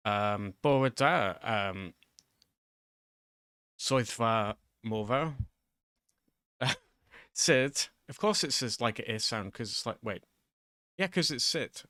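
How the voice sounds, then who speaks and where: A man's voice: medium pitch, slow, pauses often, sharp tone, no room sound. A man giving an informal, improvised lesson in a hesitant, rambling, self-deprecating way, close to the microphone. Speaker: online video host.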